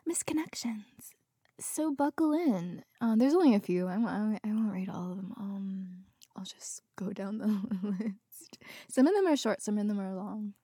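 Slow husky whispering woman. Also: mid-range voice, no room sound, studio quality. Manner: soft whispering, giggly, tearful asides. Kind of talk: whispered reading of posts, ASMR.

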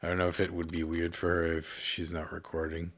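A man's voice: sits low, completely flat delivery, a little fast, fairly bright tone. A man co-hosting a podcast in a casual chat on a call, laid-back and joking. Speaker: podcast co-host.

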